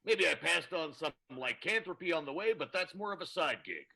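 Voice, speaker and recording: A man's voice: fairly high pitch, animated, fairly quick, vocal fry. A man playing a suave, straight-talking werewolf in an improvised radio play, dry and theatrical. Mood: blunt, unapologetic.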